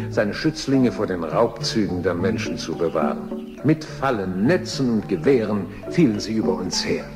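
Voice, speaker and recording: An actor playing a chatty, wry jungle animal who narrates a children's audio drama straight to the listeners. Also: male voice, lowish voice.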